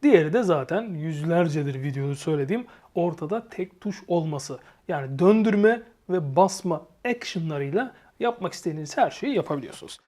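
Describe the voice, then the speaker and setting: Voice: medium pitch, lively intonation, brisk, hardly any pauses, dark tone. A reviewer giving a casual, explanatory product review and addressing viewers informally. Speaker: motorcycle gear reviewer and channel host.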